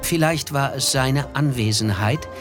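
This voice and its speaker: An actor playing an observant, reflective narrator who recalls his memories in measured literary prose for a radio play. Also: medium pitch, lightly acted. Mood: reflective, wry. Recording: music behind the voice.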